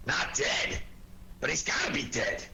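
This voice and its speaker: Sharp, high-pitched, blustering police inspector. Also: male voice, wildly swooping pitch, unhurried, creaky, heavily acted. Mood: stubborn, sarcastic. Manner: blustering, sarcastic. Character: gruff, sarcastic, stubborn police inspector. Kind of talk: 1940s radio detective drama acting. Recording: noisy old 1940s radio recording.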